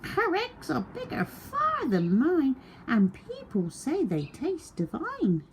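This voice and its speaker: A woman's voice: high-pitched, huge pitch swings, slow, background noise, voice pushed up, heavily acted. A woman voicing a crafty fat red hen, helpful and deceitful, in a children's storybook. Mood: coaxing. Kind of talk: children's storybook read aloud.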